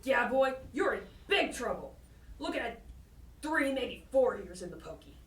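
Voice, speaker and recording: A woman playing a gloating, taunting prison guard with folksy swagger and an excitable manner in an audio drama. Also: fairly high pitch, unhurried. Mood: gloating, menacing.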